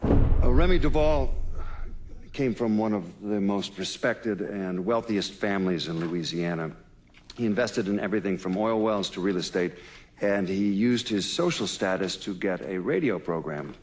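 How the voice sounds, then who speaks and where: A man acting a sober official in a game, explaining events in a measured documentary style. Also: on the low side, animated, a little hiss or hum, close to an everyday voice. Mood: sober, explanatory. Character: sober male official recounting a racist scandal.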